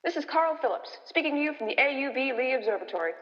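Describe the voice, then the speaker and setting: Woman acting as on-location radio reporter, quick, higher-pitched, declamatory, long hall reverb. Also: lively intonation, highs cut off. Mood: descriptive, brisk. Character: brisk radio commentator reporting live on location. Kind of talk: scripted on-the-spot radio commentary.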